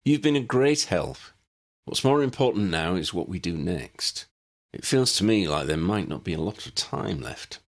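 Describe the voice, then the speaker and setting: A man's voice: sits low, very animated, moderate pace, creaky, full character voice. A man voicing a decisive, earnest male wizard hero with a direct, urgent manner in a storybook. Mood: reassuring.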